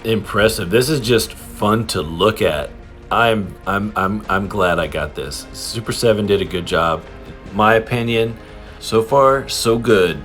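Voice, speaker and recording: A man chatting casually through a toy unboxing and review, with an excitable, giggly fan's enthusiasm. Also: lowish voice, unhurried, soft background music. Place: indoors in an apartment.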